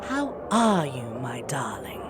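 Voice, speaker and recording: Low-voiced, sharp-tongued queen goddess played by a woman. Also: huge pitch swings, slow, noisy background, full character voice. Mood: cool, polite. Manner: cutting politeness, regal, formal. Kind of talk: audio drama acting.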